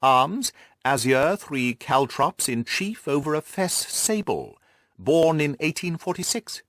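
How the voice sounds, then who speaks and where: Expressive male audiobook narrator. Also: a little high, slow pace, no room sound, studio quality.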